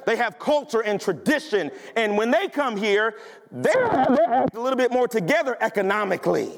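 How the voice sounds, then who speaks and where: High-voiced pastor preaching in a reverberant hall. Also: male voice, very animated, normal speed, creaky. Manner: emphatic, conversational preaching with rhetorical questions. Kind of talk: sermon.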